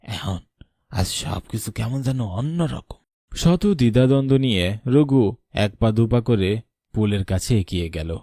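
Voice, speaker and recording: A man's voice: fairly low pitch, dark tone, dry recording, studio quality. A man giving scripted narration for a horror story as its narrator.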